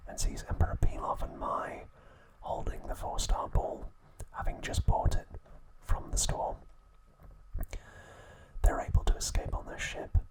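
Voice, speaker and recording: A man whispers his way through an ASMR recap. His voice is breathy and unvoiced from start to finish. He delivers the narration in hushed, measured short phrases, as a host guiding the listener through trivia. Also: rough recording.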